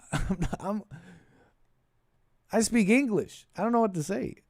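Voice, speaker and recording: A man hosting a casual call-in show, thinking aloud in a halting, repetitive, meandering way. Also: high-pitched, lively intonation, no echo, studio quality. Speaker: podcast and call-in show host. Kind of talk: rambling casual political commentary.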